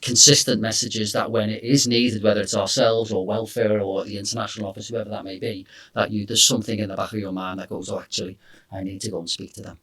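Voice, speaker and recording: A middle-aged English man working as a careers consultant, talking in a relaxed conversational way and often hedging with "you know" and "I suppose". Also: on the low side, quick pace.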